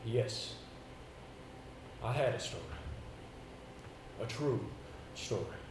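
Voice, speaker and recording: A male stage actor playing a haunted, earnest gentleman, telling his tale with deliberate, dramatic phrasing and halting repetitions. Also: lowish voice, expressive. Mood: agitated, haunted. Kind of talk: theatrical stage monologue and dialogue. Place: indoor theatre stage, recorded live. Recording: echoey stage recording with background noise.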